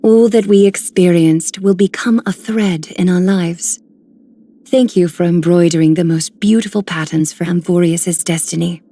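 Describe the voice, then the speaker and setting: A gentle, dignified weaver woman from a fantasy game. Her low, mellow voice carries graceful, formal phrasing. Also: fairly even intonation, moderate pace. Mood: grateful, serene. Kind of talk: scripted game character voice lines.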